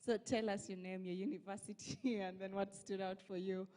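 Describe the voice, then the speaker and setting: A woman's voice: mid-range voice, flat delivery, normal speed, mellow. A woman, hosting live interviews at an event.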